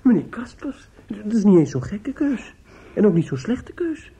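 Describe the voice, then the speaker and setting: An actor in a Dutch radio play playing an earnest young suitor, protective and quietly vengeful, probing and insistent with some hesitation. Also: male voice, sits high, sing-song, moderate pace, husky, heavily acted. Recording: muffled, lo-fi old radio recording.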